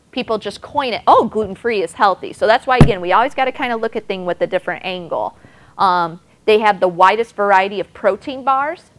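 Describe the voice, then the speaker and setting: A woman presenting on nutrition, answering audience questions in a chatty way with lots of "like" and "you know". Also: medium pitch. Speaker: nutrition presenter at a clinic talk. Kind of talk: audience Q&A session.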